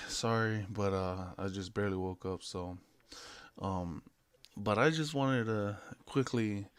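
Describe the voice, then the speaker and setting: A man's voice: fairly low pitch, clean tone, no room sound. A man in his late twenties from American Samoa and Hawaii, talking in a laid-back, hesitant, rambling way in an unscripted vlog. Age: late 20s. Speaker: vlogger. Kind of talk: casual unscripted vlog chat.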